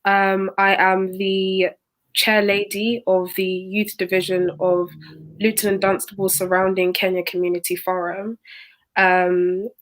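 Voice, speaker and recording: A woman's voice: medium pitch, little pitch movement, measured pace. A woman, a student introducing herself on a panel in a composed, articulate way. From England. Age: 20s. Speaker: student and youth chair.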